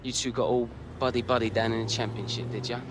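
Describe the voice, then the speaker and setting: A man's voice: medium pitch, monotone, quick pace, natural voice. A young man with an English accent playing a cocky, prickly star footballer in a game cutscene. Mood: scornful. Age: young adult. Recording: noisy outdoor street ambience.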